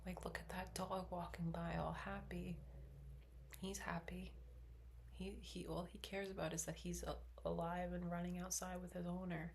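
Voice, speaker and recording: Young woman, soft rambling whisper, flat low tone, faint hiss behind. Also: moderate pace, creaky. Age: 20s. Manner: soft unvoiced whisper, rambling with many fillers. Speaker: ASMR creator. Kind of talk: whispered ASMR musing. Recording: faint background noise.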